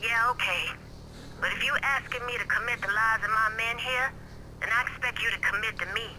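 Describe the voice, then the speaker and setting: Piercingly bright, forceful gang leader. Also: mid-range voice, steady tone, a little fast, slight background noise, rough recording. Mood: stern, demanding. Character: proud, wary gang leader demanding loyalty. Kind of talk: video game cutscene acting.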